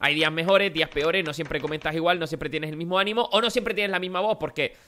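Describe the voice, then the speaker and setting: A Spanish man from Spain talks quickly and brightly over his gameplay. His voice is nasal and a bit wrecked, and he chats straight at his viewers with plenty of energy. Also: fairly high pitch. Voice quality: nasal, worn-out voice. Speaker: gaming youtuber. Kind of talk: casual gameplay commentary.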